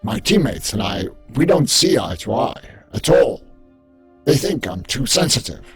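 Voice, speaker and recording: A man's voice: medium pitch, big pitch swings, measured pace, husky, faint music behind, heavily acted. A man playing a sensitive, awkward, lonely robot misfit in an amateur comic dub. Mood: downcast, confiding.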